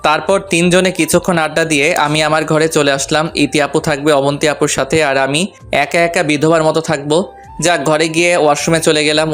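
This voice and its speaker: A man's voice: on the high side, a bit bright. A man narrating a first-person romantic story in a playful, colloquial way.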